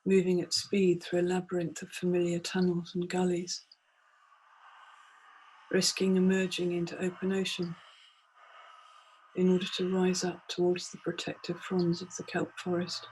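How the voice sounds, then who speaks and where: A woman narrating a guided meditation from a script, in a deliberate, immersive and poetic way. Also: fairly low pitch, monotone, a little mellow, breathy edge, rough recording. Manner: deliberate, immersive second-person storytelling. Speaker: meditation guide, multimedia artist.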